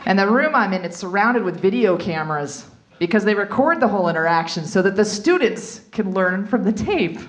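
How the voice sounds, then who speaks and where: A woman's voice: mid-range voice, lively intonation, a little fast. A woman comedian performing a stand-up routine, telling candid, bawdy stories and acting out asides. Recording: live comedy club with audience.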